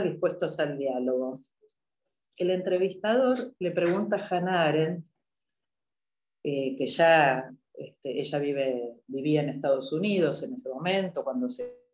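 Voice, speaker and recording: Measured woman in an echoey room. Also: low voice, darkish tone. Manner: measured, hesitant, frequent filler pauses. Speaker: event moderator. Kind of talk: introductory talk at a public event.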